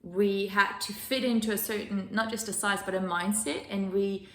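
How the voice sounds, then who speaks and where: A woman's voice: mid-range voice, steady tone, moderate pace, a bit bright. A woman from Denmark, a wellness coach and host, telling her own story in an earnest, enthusiastic way with many fillers and restarts. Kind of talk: solo self-introduction on a sofa. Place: living room sofa. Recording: roomy echo.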